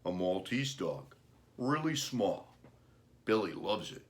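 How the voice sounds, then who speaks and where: A man reading a children's storybook, playing an earnest, gentle father talking about his young son's wishes. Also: low-pitched, big pitch swings, takes it slowly, heavily acted. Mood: pleased.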